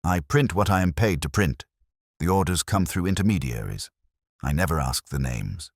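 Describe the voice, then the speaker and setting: A man voicing a frightened, evasive printer in an audiobook, halting and pleading. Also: sits low, expressive, dry recording, clean studio sound. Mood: defensive. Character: frightened, evasive male printer, cornered and trembling.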